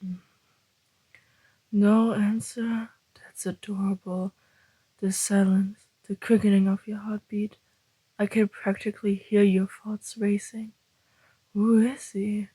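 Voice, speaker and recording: A woman playing a suave, teasing male vampire in an ASMR roleplay, speaking in a breathy, deliberate, flirtatious half-whisper. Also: mid-range voice, flat delivery, dark tone, dry recording, poor audio. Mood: teasing, intrigued.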